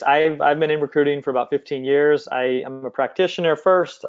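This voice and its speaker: A man's voice: medium pitch, a little mellow. A man speaking conversationally as an interview guest, explaining things over slightly muffled call audio. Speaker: guest, company CEO and former recruiter.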